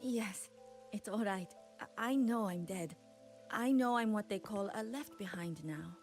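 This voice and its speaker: A woman playing a gentle, devoted young ghost in a video game, speaking earnestly and a little hesitantly. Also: medium pitch, a little slow, soft background music, close to an everyday voice. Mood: wistful, resigned. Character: wistful, devoted young female ghost admirer of a shrine maiden. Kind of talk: game voice acting.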